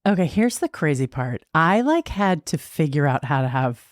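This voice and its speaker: A woman's voice: deep voice, sing-song, normal speed. A woman in her fifties, a podcast guest talking frankly and with self-mocking humor. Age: 50s. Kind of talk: candid conversational interview.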